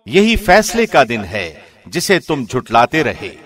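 A man reading a scripture translation formally, in the measured, solemn declamation of a radio presenter. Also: mid-range voice, wildly swooping pitch, a bit bright.

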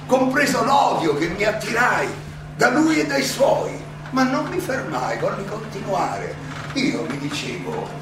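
A very echoey, distant recording of a wry philosopher pleading his case on stage. The voice is high and slow, halting for rhetorical effect as it addresses the audience. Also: sing-song, music in the background, heavily acted. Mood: animated, ironic. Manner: halting, rhetorical, with dramatic pauses and repetitions. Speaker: stage actor. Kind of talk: theatrical monologue addressed to an audience. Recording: echoey hall, distant mic, clear noise.

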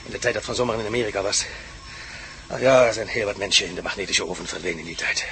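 A man's voice: on the low side, very fast, vocal fry, bright, forceful tone, a little hiss or hum, poor audio. A man playing a courteous, earnest Prussian nobleman with a German accent in a Dutch radio drama. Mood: earnest, explaining. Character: courteous Prussian nobleman, defector from a villain's camp.

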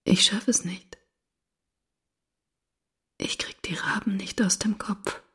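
An actress playing a distraught, wary and fragile woman in a radio drama, with a breathy, husky voice. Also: medium pitch, fairly even intonation, slow, sharp tone, whispered, heavily acted. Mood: desperate, haunted.

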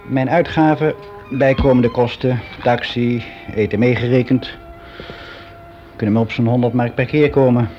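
A dull, lo-fi radio play recording with music behind it. An actor plays a man nearing sixty, frank and a little wistful, in a mid-pitched voice. He confides in a conversational, halting way, drawing out his phrases as he thinks. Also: fairly even intonation, lightly acted. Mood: musing, confiding. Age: older, about sixty. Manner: musing, halting, candid, with drawn-out phrases. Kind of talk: confessional radio drama monologue, conversational and hesitant.

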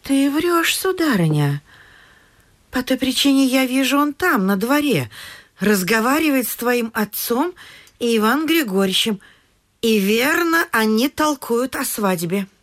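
An actress playing a bossy, excitable middle-aged mother in a radio vaudeville, with a scolding theatrical manner. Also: a little high, measured pace. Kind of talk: stage vaudeville radio play acting.

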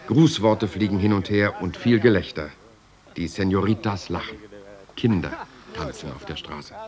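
A deep male voice an actor's tells his own story as a solitary, contemplative writer. The delivery is measured and lyrical, in the manner of a literary radio drama. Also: a little mellow, natural voice. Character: reflective, solitary European writer recounting his life. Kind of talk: literary first-person radio drama narration.

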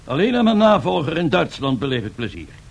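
Strutting Italian fascist leader from a radio play. His husky, creaky voice sits a little high. He speaks in pompous, self-admiring rhetoric, grand and boastful throughout. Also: big pitch swings, brisk, heavily acted. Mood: self-satisfied. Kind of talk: 1970s radio drama acting.

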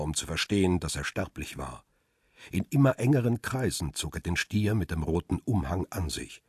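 A man playing a paparazzo who tells his own crime story in a radio drama, husky and measured in a literary way. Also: low voice, darkish tone, dry recording, clean studio sound. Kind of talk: radio drama first-person narration and dialogue.

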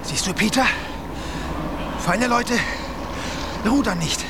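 A wry sailor comrade in a radio drama about a polar voyage. His voice is high and very animated, with a creaky edge, set in a noisy open boat. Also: slow, full character voice. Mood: wry teasing.

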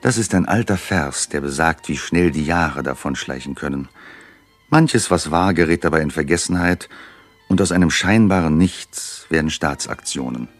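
An actor playing Time itself, narrating a classical radio play in measured, poetic, reflective speech. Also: male voice, very deep voice, faint music behind. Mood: musing. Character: Time personified, measured, all-knowing male narrator.

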